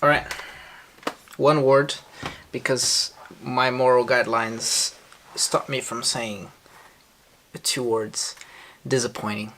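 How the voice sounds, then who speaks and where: A man's voice: mid-range voice, little pitch movement, slow pace, pauses often, a bit bright, slight background noise. A man gives casual vlog commentary, reacting to a clip in an exasperated, sarcastic, incredulous way. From Brazil. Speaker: reaction vlogger.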